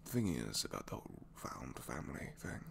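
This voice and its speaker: A man's voice: a bass voice, wildly swooping pitch, normal speed, vocal fry, a little hiss or hum, pitched below the performer's own voice, full character voice. A man playing a reluctant, secretly kind supervillain inventor in an ASMR roleplay, hesitant, wry and self-deprecating, rambling and sometimes dropping toward a whisper. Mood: resigned. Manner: hesitant, wry, rambling, trailing tag questions, sighs, drops to whispers. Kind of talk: ASMR roleplay audio drama, one-sided conversation.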